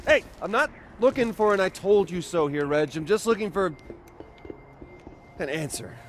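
A man's voice: sits high, animated, moderate pace, slight background noise. A man playing a cocky, wisecracking young rebel hero in a naturalistic video game style, sarcastic and playful. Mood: teasing. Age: young adult. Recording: music behind the voice.

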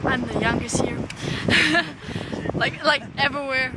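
A woman's voice: high-pitched, unhurried. A woman in her late teens from Germany, chatting casually about herself. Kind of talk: casual chat. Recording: very loud wind and engine noise.

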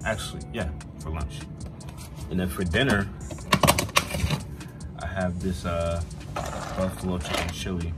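A low-voiced guy in his twenties walking around his house, filming a slangy, stop-and-start vlog on his phone with music playing. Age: 20s. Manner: informal, slangy, halting, often says "man". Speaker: vlogger. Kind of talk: casual rambling chat to camera. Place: indoors at home, moving between rooms. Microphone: handheld phone at arm's length.

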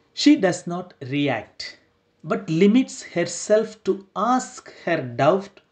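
Man, young priest, slow measured sermon, rhetorical questions. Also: high voice, darkish tone.

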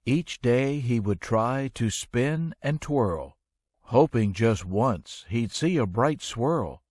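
Slow, animated male storybook narrator. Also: medium pitch, husky, no echo, clean studio sound. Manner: expressive, measured storytelling. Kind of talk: rhyming children's read-aloud narration.

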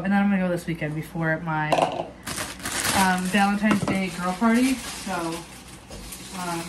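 Young woman rambling thru a grocery haul, lots of ums, kinda low voice, noisy kitchen phone audio. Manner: chatty, many ums and restarts. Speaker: vlogger. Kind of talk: casual grocery haul chat. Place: home kitchen, indoors. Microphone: phone camera propped on counter.

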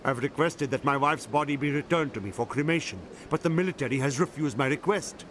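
An actor playing a dignified, grieving widower in a video game, formal and courteous. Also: male voice, on the high side, brisk, a bit bright, slight background noise, lightly acted. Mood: sorrowful.

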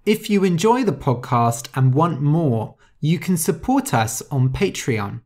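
Very slow, clear podcast storyteller. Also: medium pitch, very animated, a little mellow. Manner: spells out words letter by letter and explains vocabulary. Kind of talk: slow, clear story reading for English learners.

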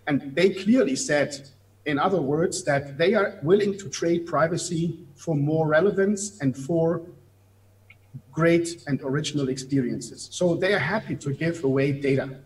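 Man in his fifties, German accent, slightly raised pitch, explanatory, video call from an office. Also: rough recording. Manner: explanatory, gestures while talking, some hesitant fillers. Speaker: guest. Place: office room. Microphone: video call webcam.

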